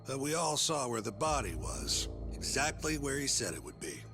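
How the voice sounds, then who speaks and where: An actor playing a cocky, casual partner in a detective game dub. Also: male voice, medium pitch, big pitch swings, normal speed, music in the background, natural voice. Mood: placating. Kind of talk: English video game dub acting.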